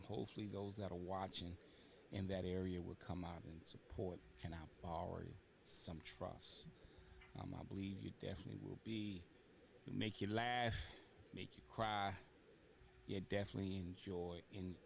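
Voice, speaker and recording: Low creaky-voiced male talk show host. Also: a little hiss or hum. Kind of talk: casual interview talk.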